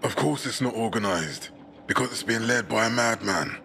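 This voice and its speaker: An actor playing a zealous propagandist who talks with impassioned scorn. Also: male voice, fairly low pitch, lively intonation, normal speed, vocal fry, pushed and bright, a little hiss or hum, exaggerated voice. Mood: fervent.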